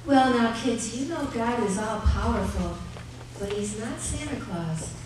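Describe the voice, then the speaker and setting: A gently firm parent played by a woman patiently gives advice in a radio drama. The voice is slightly high and sits far back in a very echoey, noisy theater. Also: animated, measured pace. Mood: patient, admonishing. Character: gently firm parent advising children about bedtime prayers. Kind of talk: old-time radio play acting, live on stage. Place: live stage in an arts center.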